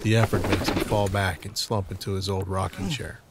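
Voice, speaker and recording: A man narrates an audio drama as a scripted storyteller. His voice sits on the low side, and there is clearly audible noise in the recording. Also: flat delivery, a little fast.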